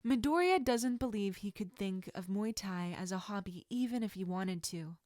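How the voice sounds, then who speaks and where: Woman reading a story out loud, expressive, mid pitch, very dry recording. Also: normal speed, dry recording. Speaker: fan fiction narrator. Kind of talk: scripted story narration.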